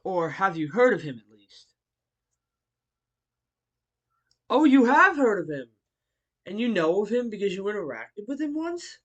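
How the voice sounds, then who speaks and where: A man acts out a grown martial-arts hero who teaches, bookish and friendly. His voice sits high for a man and swings animatedly up and down. He addresses the listener politely in an audio roleplay, pausing hesitantly between phrases. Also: very animated, fairly bright tone, lo-fi sound, full character voice. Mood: curious, polite.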